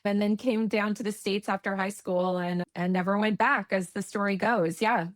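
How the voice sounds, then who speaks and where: Casting: Canadian woman guest, chatty and reflective, mid pitch, dry close sound. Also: fairly even intonation, moderate pace, dry recording. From eastern Canada. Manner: chatty, reflective, self-correcting. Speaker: guest, UX expert. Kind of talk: conversational interview.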